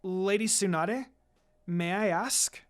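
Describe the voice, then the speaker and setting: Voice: mid-range voice, slow, natural voice. A narrator voicing a polite, inquisitive young woman ninja student, deferential in manner, in a read-aloud story. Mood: hesitant, curious.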